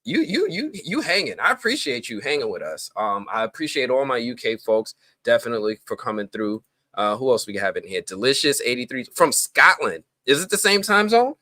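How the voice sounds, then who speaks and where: Bright, excitable man hosting a live stream. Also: medium pitch, brisk, dry recording. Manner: excitable, voice jumps up when greeting people; relaxed slang-heavy talk with many fillers. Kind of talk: casual live-stream chat greeting viewers.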